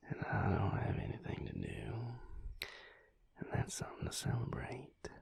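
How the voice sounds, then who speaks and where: Man acting a gravelly, murmuring Southern vampire lover. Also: darkish tone, full character voice. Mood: lazy, tender. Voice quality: breathy low murmur, gravelly. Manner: drawling, lazy, teasing. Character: laid-back affectionate male vampire lover, adult, teasing. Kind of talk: intimate audio roleplay, whispered pillow talk.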